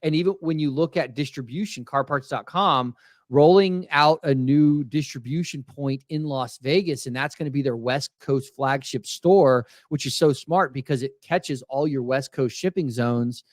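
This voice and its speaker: A man from Texas talks shop in a loose, animated podcast chat, often restarting his sentences. His voice sits in a middle register. The recording is close and dry, with no room sound. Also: mid-range voice, moderate pace, no room sound. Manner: animated, many restarts, acts out quoted voices. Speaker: podcast co-host. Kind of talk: casual industry chat.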